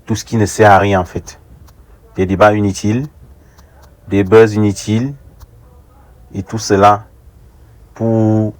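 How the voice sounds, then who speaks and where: A young man from Africa giving an earnest, deliberate opinion monologue, repeating phrases for rhetorical emphasis as an online commentator. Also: fairly low pitch, little pitch movement. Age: young adult.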